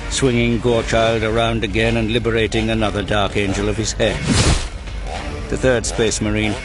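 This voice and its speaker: Narrator, male: very low, grave and measured, dramatic audiobook delivery over prominent music. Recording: music behind the voice.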